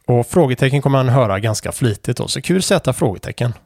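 A man's voice: mid-range voice, lively intonation, vocal fry, darkish tone, slight background noise. Man patiently teaching Morse procedure. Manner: unhurried, many fillers, spells out letters and call signs. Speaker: amateur radio instructor. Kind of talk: explaining Morse code procedure.

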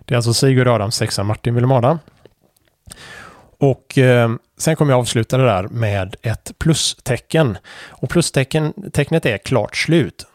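Man, radio lesson, unhurried with fillers, mid pitch, dry close sound. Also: dry recording. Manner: unhurried, many fillers, spells out letters and call signs. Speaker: amateur radio instructor. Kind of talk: explaining Morse code procedure.

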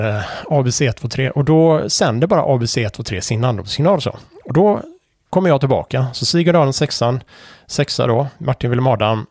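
A man, explaining amateur radio Morse code procedure in an unhurried way, with many fillers. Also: medium pitch, a little mellow, dry recording, clean studio sound. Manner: unhurried, many fillers, spells out letters and call signs.

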